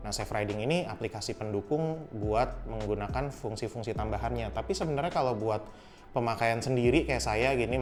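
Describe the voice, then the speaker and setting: A young man casually reviewing a helmet intercom, explaining each step in a relaxed, friendly way. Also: medium pitch. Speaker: product reviewer.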